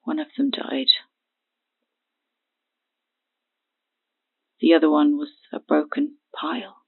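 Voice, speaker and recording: An actress playing a frightened, paranoid woman recounting a horror in halting speech over a phone in an audio drama. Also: low voice, very animated, creaky, husky, heavily acted. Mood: numb horror. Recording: thin, phone-like band-limited sound.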